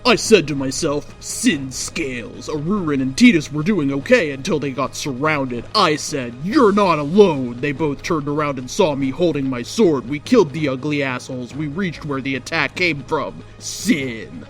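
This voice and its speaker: A woman reading bad fan fiction aloud as a storyteller, with theatrical, drawn-out emphasis on key phrases, close to a microphone without a pop filter. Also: deep voice, expressive. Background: faint music.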